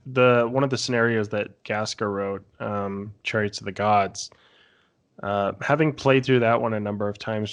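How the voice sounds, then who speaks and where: A man with a fairly low voice chats informally on a podcast. He rambles along in a casual storytelling way, with the odd swear word. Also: fairly bright tone. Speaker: podcast guest or panelist. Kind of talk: casual chat recounting tabletop game sessions.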